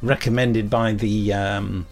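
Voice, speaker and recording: A man's voice: lowish voice, steady tone, slow, soft background music. A man co-hosting a podcast in a chatty, wry, self-deprecating and rambling way. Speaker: podcast co-host. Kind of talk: chatty, semi-scripted podcast presenting.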